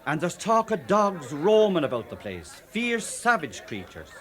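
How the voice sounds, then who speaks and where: An actor with an Irish accent playing a genial, talkative, canny police superintendent in a radio drama. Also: male voice, fairly high pitch, huge pitch swings, a little slow. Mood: genial, storytelling. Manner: folksy, cajoling, garrulous.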